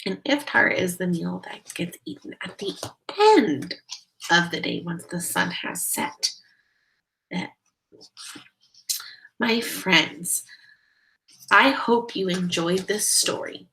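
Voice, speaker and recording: A woman's voice: fairly low pitch, takes it slowly, creaky, long pauses, rough recording. A woman reading a picture book aloud expressively, talking directly to young listeners. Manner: expressive, addressing children directly. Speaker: read-aloud storyteller.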